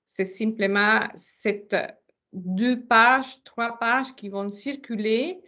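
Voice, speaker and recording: A woman's voice: a little high, extremely slow, pushed and bright. A non-native French-speaking woman with a foreign accent presenting a webinar informally, searching for words and often repeating them. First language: not French.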